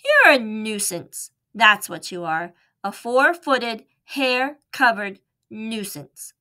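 A woman's voice: on the high side, big pitch swings, slow pace, sharp tone, exaggerated voice. A woman voicing a groggy, grumbling grown man in a storybook, drowsy and cross. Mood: grumpy sleepy.

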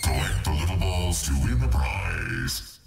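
A man voicing a deadly, deep-voiced character in a video game. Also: huge pitch swings, unhurried, vocal fry, full character voice. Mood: taunting. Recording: echoing, distant, loud music behind.

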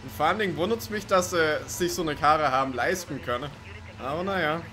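A man's voice: on the high side, a little fast, pushed and bright. A man giving casual, sarcastic let's play commentary with chuckling asides. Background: game music and car sounds.

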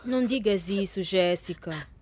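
A woman playing a lively, caring young cousin in a radio drama, with an animated, concerned manner. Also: medium pitch, normal speed, dry recording, close to an everyday voice.

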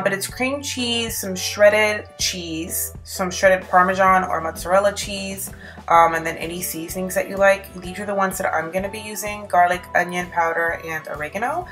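A woman's voice: medium pitch, a bit bright. A woman in her thirties hosting a casual cook-along vlog, talking informally and giving instructions in her home kitchen. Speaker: host of a home cooking vlog. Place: home kitchen and living room, indoors.

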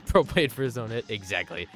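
A young man streaming a game live, joking with chat and reacting excitably, with yelps and laughs. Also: mid-range voice. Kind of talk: live gameplay commentary.

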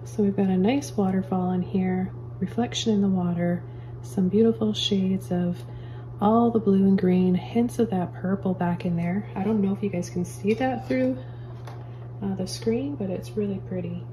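A woman calmly talking viewers through painting step by step, as a painting teacher in her studio. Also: medium pitch, normal speed, a little hiss or hum, rough recording. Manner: calm, gentle step-by-step instructions. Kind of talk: real-time painting tutorial. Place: indoor studio at an easel.

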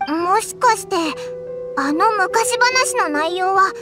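A pint-sized fairy companion who brags at every turn. Her voice is very high and squeaky and swoops lively up and down. Game music plays behind her. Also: takes it slowly, exaggerated voice. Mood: curious. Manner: brash, boastful. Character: tiny cocky floating fairy companion, loud and boastful. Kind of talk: game voice acting.

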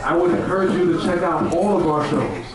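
A man's voice: on the high side, big pitch swings, brisk, far from the mic. A man hosting a live event on a handheld microphone, with casual, slangy, jive-talking banter and repeated phrases. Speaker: radio show host and interviewer. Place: rooftop bar stage at a festival at night. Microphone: handheld stage microphone. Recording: echoey live PA from afar, loud crowd.

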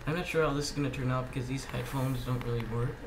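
A man with a medium-pitched voice hosts a casual gameplay commentary while slightly under the weather. He talks in halting bursts, half muttering to himself. The homemade recording has clear noise behind the voice. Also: fairly even intonation. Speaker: gaming channel host.

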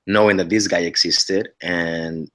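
A man's voice: deep voice, a little slow. Mexican-accented man on a video call. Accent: Mexican Spanish. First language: Spanish. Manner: earnest, restarts often, lively gestures. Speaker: actor guest. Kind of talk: casual interview chat over video call. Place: living room at home. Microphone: webcam on a video call.

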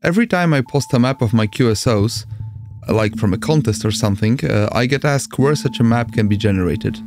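A man's voice: medium pitch, fairly quick. Polish-accented man narrating over prominent music. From Poland. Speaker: amateur radio tutorial host. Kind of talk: step-by-step screen tutorial narration.

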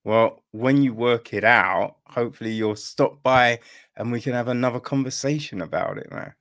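A man's voice: mid-range voice, normal speed, fairly bright tone. A middle-aged man hosting an informal podcast interview over a video call, chatting in a casual way. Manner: informal, calls guest "man". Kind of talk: casual chat. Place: room at home, indoors.

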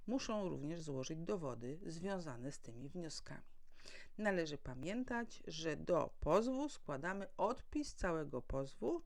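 Creaky, lower-pitched woman with lively intonation. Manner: formal, didactic, asks and answers own questions. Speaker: legal adviser and mediator presenting. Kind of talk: explanatory legal talk to listeners.

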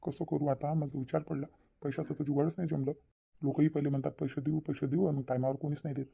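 A man's voice: on the high side, flat delivery, quick pace, gravelly, warm tone, husky, dull audio, full character voice. A man voicing a troubled, insistent middle-aged village father in a Marathi cartoon, earnest and persuading, with a Vidarbha Marathi accent.

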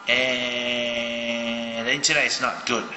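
A man's voice: medium pitch, little pitch movement, slow, bright, forceful tone. A man in his thirties from Malaysia, chatting casually through a gadget review at his desk and thinking aloud with fillers, filmed on a handheld phone. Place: indoors at a home desk. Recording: roomy with long reverb, music behind.

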